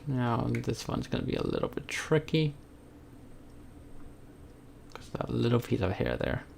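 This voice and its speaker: A man's voice: medium pitch, fairly even intonation, measured pace, gravelly, darkish tone, slight background noise. A man chatting casually on a live stream as he works, sometimes muttering to himself. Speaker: streamer, game developer. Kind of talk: casual live stream chat while drawing.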